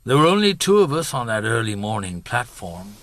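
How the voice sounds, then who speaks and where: A man acting a weary, gruff middle-aged chief inspector in a radio drama, wryly reflective, with a husky voice. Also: mid-range voice, sing-song, a little fast, a bit bright, slight background noise. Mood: grave, regretful.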